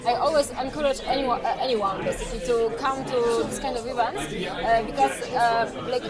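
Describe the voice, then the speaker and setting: A woman's voice: a little high, fairly even intonation, moderate pace. Polish-accented woman in a noisy hall. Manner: thoughtful, searching for words, many "uh". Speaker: international competition judge. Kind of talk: casual interview chat. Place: large convention hall. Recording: loud crowd behind.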